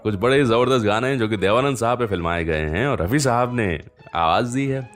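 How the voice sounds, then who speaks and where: A man hosting a radio show, telling stories conversationally with unhurried pauses and fillers. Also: medium pitch, faint music behind. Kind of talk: conversational storytelling radio presenting.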